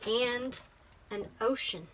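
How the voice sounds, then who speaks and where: A woman's voice: fairly high pitch, slow, background noise. A woman library teacher reading a picture book aloud, explaining things simply and prompting with questions.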